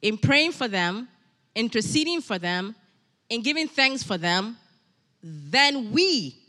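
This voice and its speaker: A middle-aged woman preaching a sermon, animated and emphatic, repeating key phrases and breaking into personal asides. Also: a little high, slow pace, big-room sound. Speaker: guest preacher.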